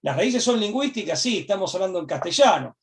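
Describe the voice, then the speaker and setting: A man with an Argentine accent, a guest in an interview, speaking at length in a discursive, reflective way, repeating his key phrases and pausing to find words. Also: high-pitched, very animated, far from the mic. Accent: Argentine Spanish. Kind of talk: reflective political commentary.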